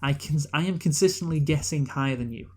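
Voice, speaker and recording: A man's voice: a little high, brisk, a little hiss or hum. A man co-hosting a casual, unscripted film review talk, giving emphatic opinions and repeating his points. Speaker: podcast co-host reviewing films.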